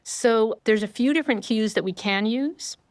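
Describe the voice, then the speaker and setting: A woman's voice: fairly high pitch, normal speed, no echo. A middle-aged woman from rural Vermont, a scientist explaining how hearing works in a thoughtful, conversational way. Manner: explanatory, thoughtful, frequent affirming fillers. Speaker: neuroscientist guest.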